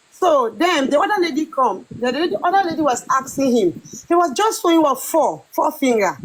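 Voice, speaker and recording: A woman recounting a dream on a live stream, speaking haltingly with many fillers. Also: high voice, poor audio. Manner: hesitant, halting.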